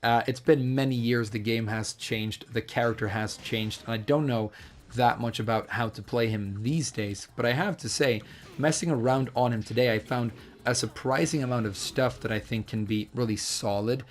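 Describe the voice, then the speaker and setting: A man with a slightly hoarse voice, casually explaining a fighting game character in a chatty, hedging way with frequent fillers. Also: medium pitch, steady tone, normal speed, a little hiss or hum. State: hoarse, losing his voice. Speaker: fighting game guide creator. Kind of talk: casual video game tutorial commentary.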